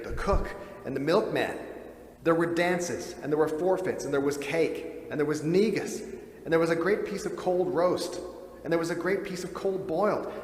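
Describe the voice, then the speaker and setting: A man giving a measured, expressive dramatic reading of a classic story as narrator. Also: a little high. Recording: roomy reverberant hall with faint noise.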